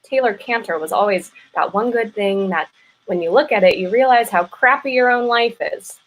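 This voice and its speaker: A woman's voice: fairly high pitch, moderate pace, slight background noise, natural voice, poor audio. A woman playing a guilt-ridden, dramatic mistress in a comedic play reading, earnest and emphatic as she confesses. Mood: agitated, self-critical. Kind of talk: live play reading, comedic stage acting.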